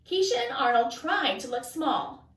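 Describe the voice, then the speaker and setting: A woman reading a children's story aloud as an expressive narrator. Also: high-pitched, measured pace. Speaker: storybook reader. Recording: echoey room, distant mic.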